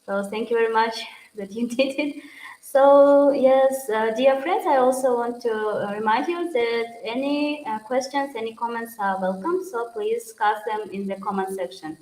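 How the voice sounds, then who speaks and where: A woman's voice: on the high side, moderate pace, darkish tone. Woman moderating questions in a roomy space. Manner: polite, non-native phrasing. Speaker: host, moderator.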